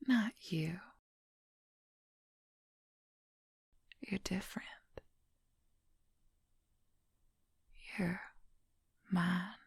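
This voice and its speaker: Breathy whispering possessive werewolf woman, acted by a woman. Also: on the low side, no room sound, heavily acted. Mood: possessive, jealous. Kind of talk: whispered ASMR role-play, personal attention.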